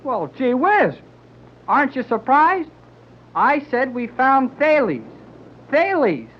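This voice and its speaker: An excitable young sidekick. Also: male voice, extremely high pitch, slow pace, exaggerated voice. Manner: excitable, folksy. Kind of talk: 1930s radio acting. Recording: dull lo-fi 1930s radio recording.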